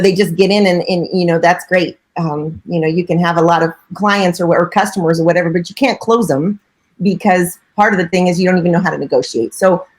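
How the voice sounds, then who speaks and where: Chatty middle-aged woman broker. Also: lowish voice. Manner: chatty and earnest, with frequent filler phrases. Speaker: real estate broker and coach. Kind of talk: casual interview answers.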